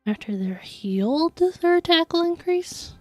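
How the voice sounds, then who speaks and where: A woman's voice: extremely high pitch, sing-song, measured pace, a little mellow, dry recording, soft background music. A woman livestreaming a video game, chatting casually and thinking aloud as she answers viewers. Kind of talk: casual chat while playing a video game.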